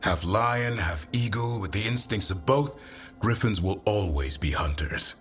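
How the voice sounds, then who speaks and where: Low-pitched male warrior, earnest, measured, reflective, fantasy game dialogue, prominent music behind. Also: animated, a bit bright. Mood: proud, assured. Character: grizzled, earnest adult male griffin-keeping warrior. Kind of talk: video game dialogue acting.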